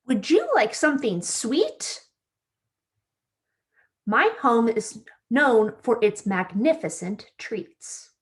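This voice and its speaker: A woman's voice: fairly high pitch, sing-song, takes it slowly. A woman voicing a friendly, welcoming little storybook character with a sing-song delivery.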